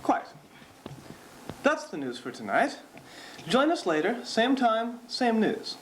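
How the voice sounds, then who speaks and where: Woman as territorial news anchor, indignant, parody newscast, slightly high voice, animated intonation, amateur recording. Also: slow. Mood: huffy, formal. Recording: noisy amateur 1970s recording.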